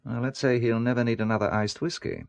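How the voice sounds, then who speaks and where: An actor playing a wary, quick-witted businessman turned spy in an audio drama, with a dry, sardonic manner. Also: mid-range voice, gravelly, no room sound, natural voice. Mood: grimly dry. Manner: dry, sardonic, hesitant when probing.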